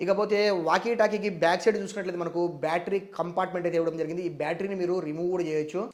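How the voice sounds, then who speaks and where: A man's voice: high voice, little pitch movement, rapid-fire. A man with a Telugu accent presenting a product review, explaining things step by step to viewers. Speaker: tech reviewer.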